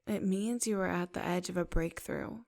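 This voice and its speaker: A woman leading a guided meditation in a soft, unhurried, affirming way. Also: fairly low pitch, steady tone, gravelly.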